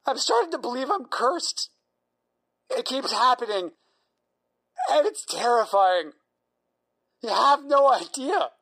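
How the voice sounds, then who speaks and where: A woman playing an exhausted, terrified insomniac patient in an audio drama, halting, anxious and pleading. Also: sits high, expressive, fairly bright tone, full character voice. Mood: exhausted, frightened.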